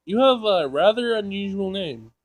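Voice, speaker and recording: A man doing casual playthrough commentary, reading game text aloud, jokey and thinking out loud as he repeats himself. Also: high voice, lively intonation, moderate pace. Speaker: gaming video host.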